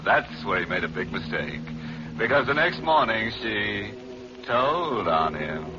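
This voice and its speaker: A gleefully macabre horror host from 1940s radio. He is theatrical and mock-friendly, drawing words out slowly with big swoops in pitch. The old broadcast is muffled, with music behind him. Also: sing-song. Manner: theatrical, slow, ghoulish joking.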